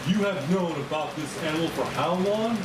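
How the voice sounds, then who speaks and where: A man's voice: a little high, lively intonation, normal speed, close to an everyday voice. A man playing a burdened, brusque army general at a table read of a monster movie. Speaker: actor at a screenplay table read. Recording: echoey room, distant mic, loud hiss.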